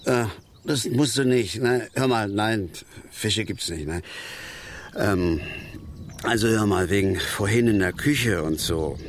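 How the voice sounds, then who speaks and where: An actor playing a gruff, grumbling middle-aged father and passionate angler in a radio drama, talking in halting, colloquial German with small tag questions. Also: on the low side, a little hiss or hum. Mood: awkward, evasive. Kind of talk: naturalistic radio drama acting. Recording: outdoor nature ambience, clearly audible.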